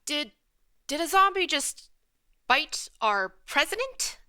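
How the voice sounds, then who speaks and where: A woman's voice: sits high, sing-song, takes it slowly, bright, forceful tone, heavily acted. A woman playing an exasperated, level-headed survivor in a comedic radio play, incredulous and emphatic.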